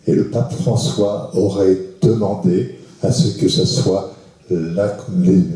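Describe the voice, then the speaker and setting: A man in the audience making a measured, informative remark. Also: fairly low pitch, dark tone. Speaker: audience member. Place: attic lecture hall in a school. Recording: very echoey hall from far off.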